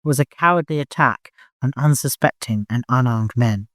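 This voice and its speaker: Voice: medium pitch, normal speed, a bit bright, dry recording, clean studio sound. A narrator reading literary fiction from a script as an audiobook.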